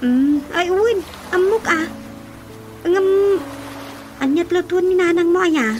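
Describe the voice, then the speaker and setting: A woman's voice: very high-pitched, slow pace. A Filipino woman with an Ilocano accent playing a puzzled village housewife who questions and exclaims, in a radio drama comedy. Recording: music over the voice.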